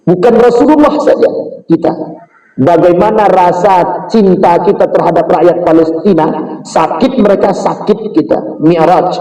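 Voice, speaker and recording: A man's voice: sits high, dark tone. A man preaching an Islamic sermon, emphatic and often putting questions directly to his listeners. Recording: roomy echo, distant from mic.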